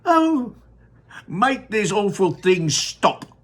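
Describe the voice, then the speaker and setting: A man's voice: sits high, sing-song, drawn-out words, fairly bright tone, pitched above the performer's own voice, heavily acted. A man voicing an alarmed middle-aged father who exclaims in indignant outbursts. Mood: pleading.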